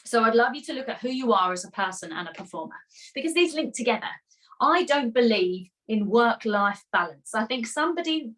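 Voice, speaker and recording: A woman coaching musical theatre performers in a live online masterclass, energetic and encouraging, chatty with rhetorical questions. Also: mid-range voice, low-quality recording. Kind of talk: live online masterclass, reading chat comments.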